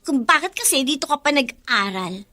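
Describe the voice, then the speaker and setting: A woman's voice: high voice, animated, normal speed, pushed and bright. A woman playing a snobbish, spiteful rich schoolgirl in a Filipino radio drama, haughty and mocking. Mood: scornful.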